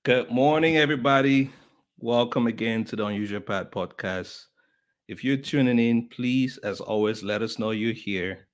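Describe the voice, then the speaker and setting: A man's voice: medium pitch, moderate pace. A man hosting a live podcast, greeting viewers in a casual, friendly way with many fillers and restarts. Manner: chatty, friendly, lots of fillers. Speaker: podcast host. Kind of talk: casual live-stream welcome.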